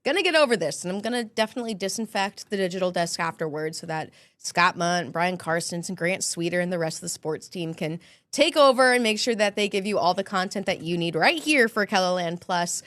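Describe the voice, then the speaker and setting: A woman's voice: on the low side, expressive, fairly quick, a bit bright, no room sound. A young woman hosting a news segment, stuffy from a cold, chatty and bubbly with rising inflections. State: congested with a cold. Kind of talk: upbeat scripted news hosting.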